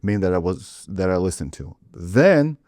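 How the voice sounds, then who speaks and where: A man, hosting a casual interview and asking questions in a measured, halting way. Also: sits low, sing-song, a little mellow, dry recording, clean studio sound. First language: Russian.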